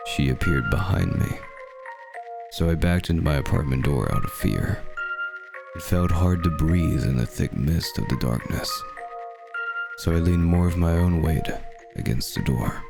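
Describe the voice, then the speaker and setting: A man narrating a scripted horror story in the first person, with a measured, ominous, dramatic delivery. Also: rumbling low, expressive, gravelly. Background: dark background music.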